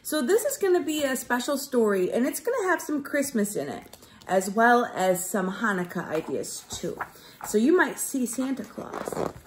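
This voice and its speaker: A woman teacher reading aloud to young children in an expressive storytelling style, explaining things simply. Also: fairly high pitch, normal speed, a little hiss or hum.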